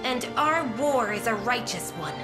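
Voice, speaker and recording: High-voiced, spirited fantasy heroine. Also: female voice, expressive, a little slow. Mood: proud, defiant. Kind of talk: video game voice acting. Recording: loud game music behind.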